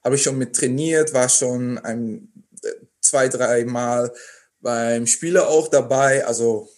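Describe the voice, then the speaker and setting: A man's voice: mid-range voice, takes it slowly, a little mellow. A young man, a sportsman guest in a podcast interview, speaking hesitantly and searching for words. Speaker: athlete guest.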